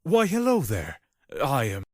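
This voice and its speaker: A man's voice: mid-range voice, wildly swooping pitch, unhurried, dry recording, studio quality. A man playing a courteous, fussy middle-aged father with a formal, placating manner in an audio drama. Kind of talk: fan audio drama acting.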